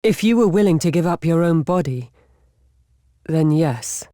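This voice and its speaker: A woman voicing a composed, solemn female elf in a video game cutscene, speaking in a measured, grave manner. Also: deep voice, animated, warm tone, no room sound, studio quality. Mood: grave, questioning.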